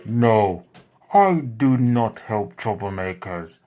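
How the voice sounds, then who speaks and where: Storybook owl, man performing: grumpy sage, low, slow and stern, scolding troublemakers. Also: very animated, a little mellow, breathy edge, exaggerated voice. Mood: annoyed, stern. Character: wise, grumpy old male owl, stern. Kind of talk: children's storybook character voice.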